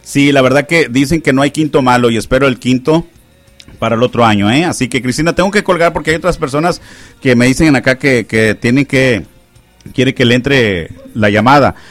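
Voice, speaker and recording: A man's voice: mid-range voice, a little fast, dry recording, soft background music. A man hosting a call-in radio show, chatting and teasing callers in a joking way. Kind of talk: casual call-in radio banter. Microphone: studio microphone.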